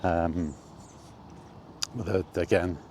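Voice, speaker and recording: Deep, slow, mellow man chatting. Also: steady tone. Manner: hesitant, thinking aloud with pauses. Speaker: video host reminiscing about games. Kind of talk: casual rambling chat. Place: on the move, walkie talkie vlog. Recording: faint ambient background noise.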